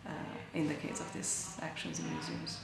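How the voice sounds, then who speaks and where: A woman's voice: moderate pace, background noise. A woman artist from Brazil explaining her work thoughtfully, searching for words and correcting herself. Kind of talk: interview about her artwork. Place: large gallery hall indoors. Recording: echoey gallery hall.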